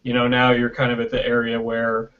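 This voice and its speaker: A man's voice: medium pitch, monotone, brisk. A man being interviewed on a casual video call, explaining things conversationally and often checking in with "right?", recorded through a built-in webcam mic. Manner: explanatory, often tags sentences with "right?" and "you know". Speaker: interview guest, video producer. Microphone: built-in webcam microphone on video call. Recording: dull lo-fi web video call.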